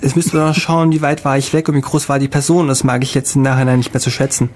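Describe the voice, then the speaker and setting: A man co-hosting a casual podcast, telling travel stories in a halting way with many fillers. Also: mid-range voice, level tone, darkish tone, slight background noise. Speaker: podcast co-host. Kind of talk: casual chat.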